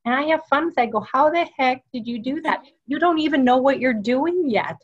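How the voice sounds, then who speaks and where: A woman hosting a sales interview over a video call from her home office, speaking with animated enthusiasm. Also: sits high, fairly quick, slightly rough, lo-fi sound. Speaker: webinar host. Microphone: webcam video call.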